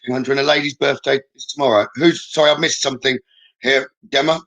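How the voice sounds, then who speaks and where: Bright-voiced man hosting a live psychic stream. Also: mid-range voice, steady tone, quick pace, rough recording. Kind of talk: live stream readings, chatting with viewers.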